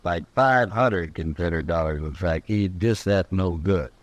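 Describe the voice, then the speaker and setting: A man plays a goading troublemaker of the Old West in a radio drama. His voice is low and dry, with a rural Western American drawl. He needles and insists in colloquial speech. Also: moderate pace, dry recording, natural voice. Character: goading, sly male frontier troublemaker egging on a youngster.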